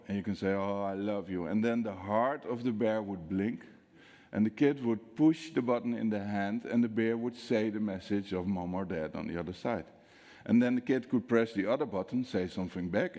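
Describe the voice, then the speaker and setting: A man from the Netherlands with a Dutch accent, giving a conference talk on security, acting out dialogue and quizzing the audience. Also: fairly low pitch. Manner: lively storyteller, acts out dialogue, asks audience questions. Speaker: security speaker. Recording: long hall reverb.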